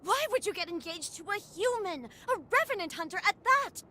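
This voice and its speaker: A woman's voice: very high voice, sing-song, a little fast, bright, forceful tone, full character voice. A woman playing a haughty, overprotective noble sister in a video game cutscene, speaking in a formal, dramatic manner. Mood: indignant.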